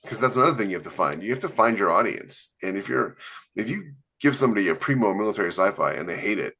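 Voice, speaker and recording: A man's voice: on the low side, animated, quick pace, vocal fry, pushed and bright, husky. A man co-hosting a podcast in casual panel chat, talking in a rambling, emphatic way full of "you know" fillers. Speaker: podcast co-host and author.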